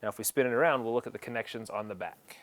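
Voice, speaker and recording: A man's voice: mid-range voice, rapid-fire. A man presenting an instructional equipment setup tutorial, explaining each step in a plain, practical way.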